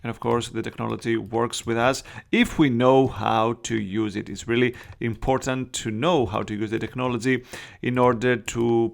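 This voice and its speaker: Gravelly Greek-accented man teaching software. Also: medium pitch. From Greece. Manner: chatty, many "uh" and "you know" fillers, non-native phrasing. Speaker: Photoshop tutorial host. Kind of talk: casual screen-recorded tutorial.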